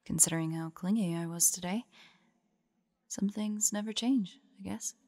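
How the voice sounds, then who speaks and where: A woman playing a weary, protective demon hunter in an audio drama, gentle yet resolute, with a measured, solemn delivery. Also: medium pitch, no echo, close to an everyday voice. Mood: wistful.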